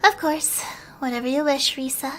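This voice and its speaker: A woman voicing a calm, knowing female archiver who speaks softly and gently teases. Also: sits high, moderate pace, a little hiss or hum. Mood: gentle.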